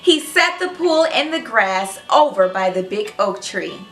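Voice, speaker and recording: A woman hosting a children's read-aloud, narrating in an animated, expressive storybook style and speaking directly to young listeners. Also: mid-range voice, measured pace, roomy sound, soft background music.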